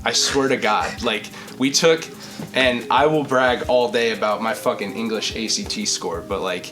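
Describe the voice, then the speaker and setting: A young man with a deep, projecting voice, chatting casually as a podcast host, rambling and swearing freely. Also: moderate pace, over music. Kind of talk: casual chat.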